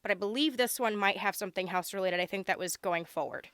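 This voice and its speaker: A woman with a hoarse, congested voice from being sick, chatting casually and gushing as she unboxes a subscription box, with plenty of ums. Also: mid-range voice, fast, a bit bright. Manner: chatty, lots of ums, gushing over items. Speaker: unboxing vlogger.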